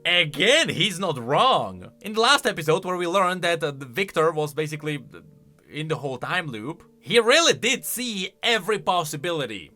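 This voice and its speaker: A male video host reacting casually to game lore in a conversational, questioning way. His voice is bright and fairly high, swinging animatedly up and down over faint music. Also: big pitch swings, normal speed. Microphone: close studio-style microphone.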